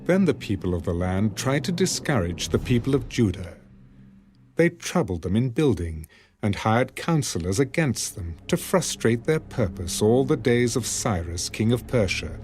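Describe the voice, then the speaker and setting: A man narrating scripture for a dramatised audiobook in solemn, measured phrases. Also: mid-range voice, sing-song, darkish tone. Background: music.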